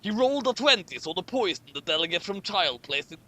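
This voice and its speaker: High-pitched guy reading silly fanfic out loud, really animated, trips on lines and starts over. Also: quick pace. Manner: stumbles over lines and repeats them. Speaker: host of a comic fan fiction reading. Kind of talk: dramatic reading with mocking commentary.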